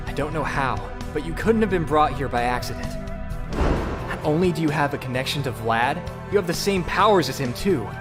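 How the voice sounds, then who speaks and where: Male actor as seasoned young hero rallying his team, determined, slightly high voice over clear music, motion-comic drama. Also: lively intonation, brisk, lightly acted. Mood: urging, earnest.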